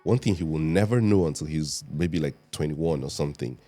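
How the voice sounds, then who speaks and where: A Nigerian man in his thirties with a raspy voice, telling stories in a relaxed way as a podcast guest. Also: a bass voice, a little fast, faint music behind. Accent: Nigerian English. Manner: storytelling, laid-back. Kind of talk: casual chat.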